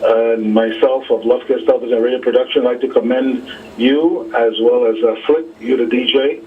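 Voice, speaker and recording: A man phones in to a radio talk show on a narrow, tinny line. His medium-pitched voice is measured and formal, and he repeats words for emphasis. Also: steady tone, slightly rough, far from the mic. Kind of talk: call-in commentary. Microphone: telephone.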